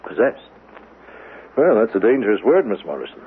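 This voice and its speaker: An actor playing an elderly, gentle, wistful minister in a 1970s radio drama, speaking in a courteous, hesitant, halting way. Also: male voice, fairly low pitch, big pitch swings. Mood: concerned, hesitant. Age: older. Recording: muffled lo-fi radio recording with faint noise.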